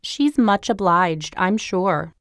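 A woman's voice: fairly low pitch, animated, slow, no room sound, studio quality. A woman playing a sour, sarcastic female party guest in an audiobook drama.

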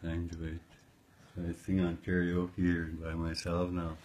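A man in his fifties speaks in a low, deep voice, soft and unhurried, answering in short phrases that often trail into "eh". It sounds like a phone recording in a small room at home, with a faint steady hiss underneath. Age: 50s. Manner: soft-spoken, short replies, tags "eh". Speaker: musician interviewee. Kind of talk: casual interview chat. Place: small indoor room at home, playing guitar.

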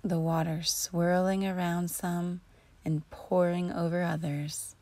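A woman narrating a guided imagery relaxation in a soothing, deliberate, breathy voice that often sinks close to a whisper. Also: on the low side, little pitch movement, dark tone, no echo. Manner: soothing, slow and deliberate with long pauses. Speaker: guided meditation host.

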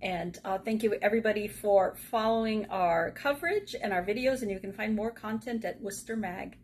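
A woman's voice: mid-range voice, brisk, darkish tone, a little hiss or hum. A woman acting as interviewer, introducing a guest and addressing viewers. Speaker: interviewer and magazine editor hosting.

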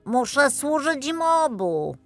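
A woman's voice: sits high, sing-song, takes it slowly, pitched above the performer's own voice, heavily acted. A small male gnome from a children's storybook voiced by a woman. The voice sits raised above ordinary talk and belongs to a practical little house spirit who loves his treats. Music plays faintly behind it. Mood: resolute. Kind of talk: children's audiobook character.

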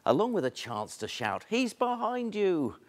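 A man's voice: high voice, big pitch swings, unhurried. A man in his fifties presenting to camera in a scripted style. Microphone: lapel mic.

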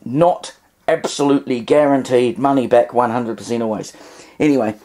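A man's voice: mid-range voice, normal speed, warm tone. A man giving a casual, unscripted demonstration in a hesitant, rambling way with wry asides. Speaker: vintage radio hobbyist demonstrating.

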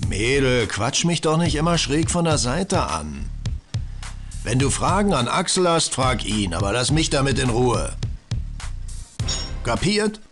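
An actor playing a gruff, impatient bodybuilding trainer in a cartoon adventure game, brusque and dismissive. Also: male voice, medium pitch, big pitch swings, measured pace, pauses often, music in the background. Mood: annoyed.

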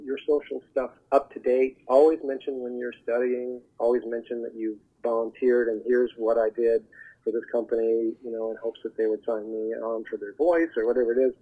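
A man speaking as a talent agent guest, giving advice in an earnest, halting, conversational way over the telephone. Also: medium pitch, flat delivery. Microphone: telephone call. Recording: muffled narrow phone line.

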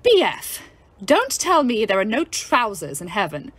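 An actress playing a brash, irreverent, upper-class young British ambulance driver, bold and mocking in an audio play. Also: female voice, mid-range voice, huge pitch swings, unhurried, no room sound. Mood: mock outrage. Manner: bold, mocking, salty-tongued.

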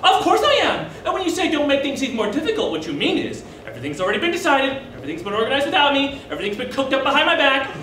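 Man putting on a haughty, high-pitched older mother. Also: very animated, racing, hardly any pauses, sharp tone, full character voice. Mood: martyred. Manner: indignant, haughty, martyred. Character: aggrieved, self-pitying older mother, guilt-tripping her son. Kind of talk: theatrical stage monologue. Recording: echoey room, distant mic, faint noise.

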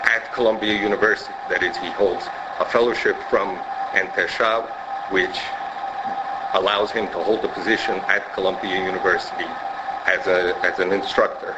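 A low-voiced man presents a visiting scholar to a lecture audience in a measured, formal manner, with pauses between phrases. The recording is muffled and lo-fi, and a steady hiss of noise sits underneath. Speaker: professor introducing a speaker. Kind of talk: formal introduction. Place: lecture room with wood panelling.

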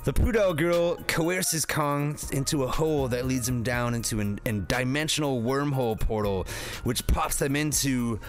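A man hosts a comedy film review with a medium-pitched voice. His recap is scripted but slangy and sarcastic, with swearing and banter, over faint music. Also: moderate pace. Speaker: host of a comedy movie review show.

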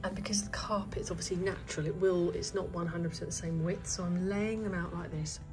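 A woman's voice: fairly low pitch, fairly even intonation, brisk, music in the background. Chatty woman doing home DIY. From United Kingdom. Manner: chatty, thinking aloud while working. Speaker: DIY home renovation vlogger. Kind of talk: casual hands-on DIY tutorial. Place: indoor hallway and staircase at home. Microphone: handheld phone or camera filming her hands.

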